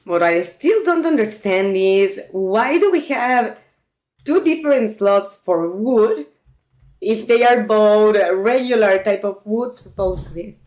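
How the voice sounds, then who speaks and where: A woman's voice: medium pitch, expressive, slow pace, a little mellow. A woman from Mexico, chatting casually with her viewers while playing a farming game. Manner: chatty, talks to her viewers, reacts aloud. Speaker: streamer. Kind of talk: casual gameplay commentary.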